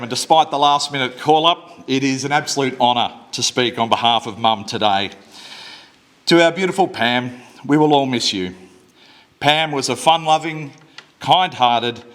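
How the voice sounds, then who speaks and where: A man's voice: medium pitch, moderate pace, fairly bright tone. A man giving a funeral speech in church, plain-spoken and lightly wry, at a lectern microphone. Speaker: eulogy speaker. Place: church service.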